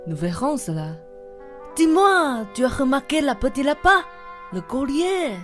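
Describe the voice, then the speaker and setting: A woman's voice: sits high, big pitch swings, unhurried, faint music behind. A young woman voicing a bossy, theatrical male pickpocket boss in a French farce radio play, indignant and melodramatic. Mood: theatrical, brisk. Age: young adult. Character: bossy, theatrical male pickpocket gang leader, scolding his apprentices.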